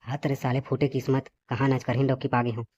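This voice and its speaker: A man's voice: mid-range voice, little pitch movement, fast, a little mellow, husky, no room sound, lightly acted. A man voicing a weary, grumbling male cartoon character in comic dialogue, complaining sarcastically with a Chhattisgarhi accent. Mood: grumbling refusal. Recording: dull lo-fi audio, faint noise.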